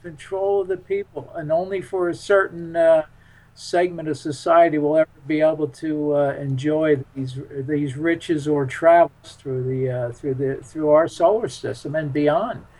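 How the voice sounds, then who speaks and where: A man's voice: on the high side, steady tone, normal speed, darkish tone, rough recording. A man speaking as an interview guest in casual, unscripted conversation, hesitant and often repeating words as he finds his point.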